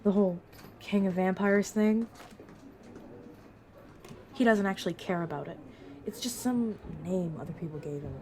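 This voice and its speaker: A woman playing a brisk, protective vampire mentor in an audio roleplay, talking casually and teasingly to a new fledgling. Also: medium pitch, warm tone, close to an everyday voice. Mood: reassuring, wry. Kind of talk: narrative audio roleplay, addressed to the listener.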